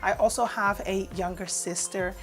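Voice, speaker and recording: A woman's voice: mid-range voice, steady tone, normal speed, fairly bright tone, faint music behind, rough recording. A woman in her forties whose first language is Portuguese, chatting casually in a vlog, reading out questions and answering them with lots of "um"s. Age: 40s. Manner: chatty, reads out each question then answers with fillers. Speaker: vlogger. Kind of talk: casual get-to-know-me tag video. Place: home in the UK.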